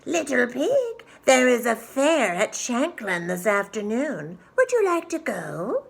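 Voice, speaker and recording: A woman's voice: a little high, huge pitch swings, slow pace, gravelly, husky, voice pushed up, exaggerated voice. A woman voicing a hungry, scheming male wolf villain in a children's storybook, coaxing and theatrical. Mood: sly. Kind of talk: children's storybook read-aloud.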